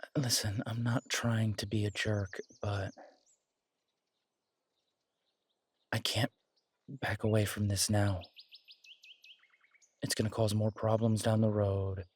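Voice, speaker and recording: A man playing a confident, protective alpha werewolf boyfriend in an intimate roleplay audio drama, husky and soothing, teasing and reassuring in a casual way. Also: on the low side, flat delivery, measured pace, pauses often.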